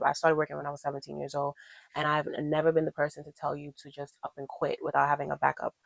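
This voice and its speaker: A woman's voice: low voice, vocal fry, no echo, rough recording. A woman in her twenties talking casually to her viewers as a vlogger, encouraging and emphatic, repeating her points for stress. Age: 20s. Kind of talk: casual chat to camera.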